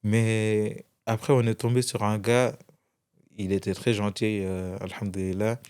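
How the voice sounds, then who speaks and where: A man's voice: on the low side, clean tone. Young french guy chatting about his past, lots of restarts, laid back. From France. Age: young adult. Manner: relaxed, halting storytelling with many restarts. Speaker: guest. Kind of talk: casual storytelling interview.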